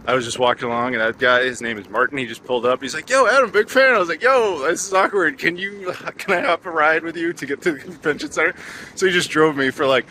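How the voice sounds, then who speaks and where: A man from North America hosting a casual travel vlog, chatty and jokey, talking excitedly about food and games. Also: a little high, quick pace, slight background noise. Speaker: vlog host.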